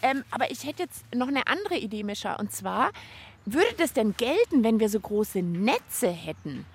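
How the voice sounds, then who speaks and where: A woman's voice: fairly high pitch, very animated, normal speed, husky. A young woman co-hosting a children's radio program, chatting cheerfully and thinking aloud during a craft task. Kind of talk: lively hands-on radio chat.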